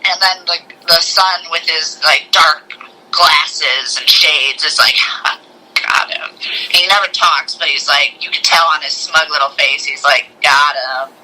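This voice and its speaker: Bright, forceful higher-voiced man chatting. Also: a little slow, low-quality recording. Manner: animated retelling, mimics characters' lines in passing. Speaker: podcast co-host. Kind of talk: casual movie review chat.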